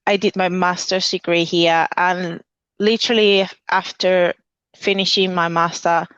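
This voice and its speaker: A woman's voice: on the low side, level tone, unhurried, fairly bright tone, smooth, no echo, dull audio. A woman in her thirties, originally from Venezuela, explaining her views earnestly in an interview and listing points with frequent restarts. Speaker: dietitian guest. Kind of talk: conversational interview answer.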